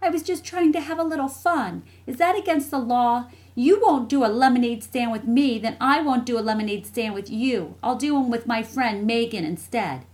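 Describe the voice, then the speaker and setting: Woman voicing a high-pitched, feisty little schoolgirl. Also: moderate pace, few pauses, darkish tone, natural voice. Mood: defiant. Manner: emphatic, childlike. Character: feisty young schoolgirl, smug and defiant little sister. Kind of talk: audiobook character dialogue.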